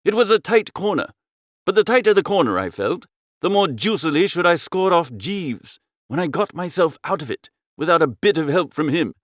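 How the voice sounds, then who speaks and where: A man reads a comic novel in the first person. His voice is fairly high and very animated, breezy and theatrical, with a dry, close sound. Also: moderate pace, dry recording. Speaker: audiobook narrator.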